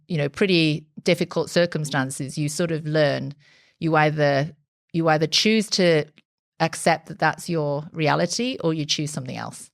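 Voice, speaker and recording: Low gravelly-voiced woman, dry sound. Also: dry recording. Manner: reflective, candid, frequent restarts and fillers. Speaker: startup founder guest. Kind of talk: conversational podcast interview.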